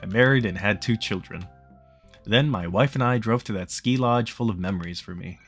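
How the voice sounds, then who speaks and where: A man's voice: fairly low pitch, moderate pace, a bit bright, husky. A man narrating a visual novel as he plays it, casually, with joking asides. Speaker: let's-play host reading game text aloud. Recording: faint music behind voice.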